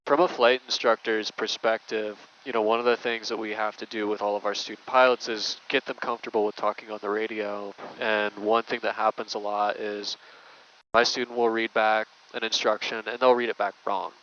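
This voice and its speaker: Even, low-pitched man in muffled noisy audio. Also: fairly quick. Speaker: flight instructor, interviewer. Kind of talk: casual conversational interview.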